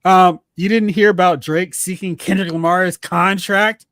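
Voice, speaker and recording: A man hosting a casual, joking movie talk show with an animated delivery. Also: sits high, unhurried, no echo, studio quality. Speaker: podcast host.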